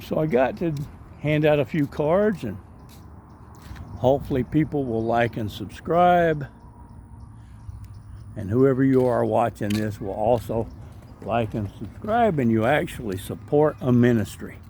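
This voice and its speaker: Rambling man with a gravelly voice. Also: mid-range voice, very animated, unhurried, long pauses, mellow, a little hiss or hum. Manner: rambling, chatty, with frequent ums and asides. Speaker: vlogger and street evangelist. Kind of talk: casual walking vlog narration. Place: outdoor park by a waterway.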